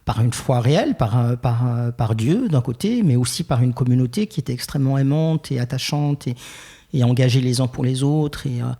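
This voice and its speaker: A young Swiss French man from Geneva, telling his life story as a podcast guest, thoughtful and hesitant with many pauses and restarts. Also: medium pitch, animated, dark tone. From Geneva, Switzerland. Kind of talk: reflective personal interview.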